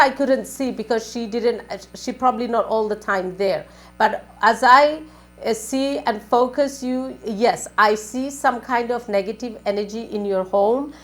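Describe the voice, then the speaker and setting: A woman with a fairly high voice gives a live call-in consultation as a spiritual advisor. She asks leading questions, then repeats her advice for emphasis. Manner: repetitive, asking leading questions and giving advice.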